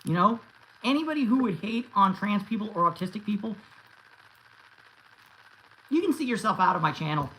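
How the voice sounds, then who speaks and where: A man's voice: high-pitched, expressive, moderate pace, pauses often, pushed and bright, poor audio. A man in his thirties chatting casually on a livestream and answering viewers, rambling with many restarts and fillers. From United States. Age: 30s. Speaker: YouTube host answering live chat.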